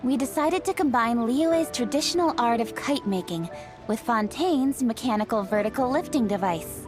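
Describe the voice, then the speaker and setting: An actress playing an earnest young official in a video game, speaking in a measured, explanatory way. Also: female voice, on the high side, expressive, over music, lightly acted. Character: young official woman, earnest, proud of tradition.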